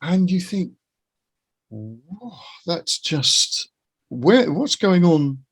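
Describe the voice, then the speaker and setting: Husky, gravelly middle-aged man, reflective. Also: high-pitched, very animated, drawn-out words. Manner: reflective, earnest, opinionated. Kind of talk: casual conversational interview.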